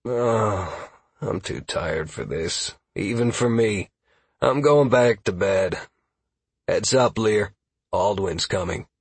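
A man's voice: lowish voice, lively intonation, slow, breathy edge, dry recording, full character voice. A man voicing a weary, sarcastic adventurer who mutters and mumbles in a fantasy audiobook.

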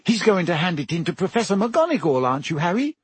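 Man putting on an anxious, high-pitched bossy teenage schoolgirl. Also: big pitch swings, unhurried, breathy edge, no echo, heavily acted. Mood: disapproving. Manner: urgent, flustered, scolding.

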